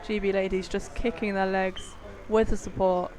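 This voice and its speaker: A woman's voice: medium pitch, flat delivery, normal speed, a little mellow, low-quality recording. Woman co-commentating a live match. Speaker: sports co-commentator.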